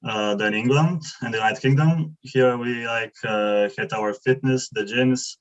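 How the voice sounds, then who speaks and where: A young man from Bulgaria with a mid-pitched voice asks questions over a video call, halting and filling gaps as he searches for words. The audio is a little muffled and dull. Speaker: webinar participant asking questions.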